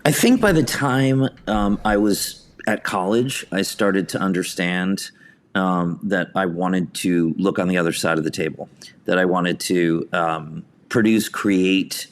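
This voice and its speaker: A man's voice: sits low, a little slow. A man being interviewed as a theatre director, telling stories conversationally and acting out bits of dialogue. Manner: anecdotal, hesitant phrasing, acts out quotes.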